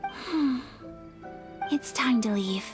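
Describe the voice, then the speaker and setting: A woman playing a refined young noblewoman in a video game, speaking softly, formally and earnestly. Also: sits high, lively intonation, full character voice. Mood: wistful, grateful. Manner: soft, formal, earnest, measured. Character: gentle, refined young noblewoman, polite and sincere. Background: game music.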